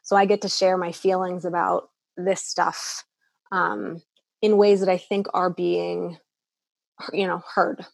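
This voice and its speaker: Earnest low-voiced woman, halting. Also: level tone, creaky, no room sound. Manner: earnest, hesitant with many restarts and fillers. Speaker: eating disorder clinician, guest. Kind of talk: podcast interview answers.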